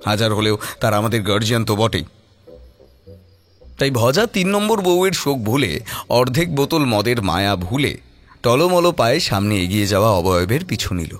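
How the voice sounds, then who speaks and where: A man's voice: medium pitch, sing-song, moderate pace, pauses often, soft background music. A man narrating a scripted horror audio story in a suspenseful, dramatic manner.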